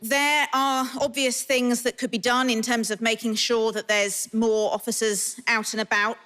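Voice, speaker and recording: A woman's voice: a little high, level tone, normal speed, fairly bright tone. A woman politician making her case in a televised political debate. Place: radio theatre stage.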